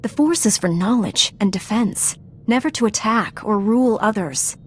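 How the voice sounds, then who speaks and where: A woman playing an earnest, principled Jedi knight in a video game, a calm leader who speaks in a measured, sincere way. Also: mid-range voice, slight background noise, close to an everyday voice. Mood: firm, principled. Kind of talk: video game character acting.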